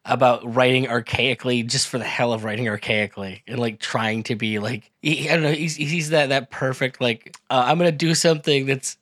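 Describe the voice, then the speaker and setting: Podcast host man, mid-pitched, thoughtful and curious, dry close recording. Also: steady tone, fairly quick, hardly any pauses, a bit bright, dry recording. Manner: curious, thoughtful, with frequent affirmations. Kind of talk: casual podcast conversation.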